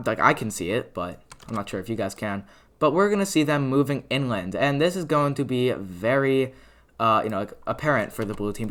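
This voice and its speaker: Guy narrating his map video, voice kinda raspy from talking, says like and uh a lot, mid pitch. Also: fairly bright tone. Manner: rambling, filler-heavy, lots of "like" and "uh". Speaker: mapping video creator. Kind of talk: casual commentary over a map animation.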